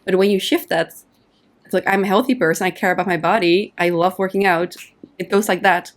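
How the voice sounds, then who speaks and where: A woman's voice: mid-range voice, fairly quick. A woman from the Netherlands speaks as a coaching guest on a podcast. She explains ideas patiently and casually. She slips into short acted-out inner voices to make her examples vivid. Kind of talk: casual interview conversation.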